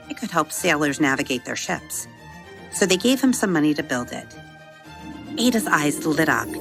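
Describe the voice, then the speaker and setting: A woman reading a scripted children's story as a podcast host, with measured, expressive storytelling. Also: low-pitched, gravelly.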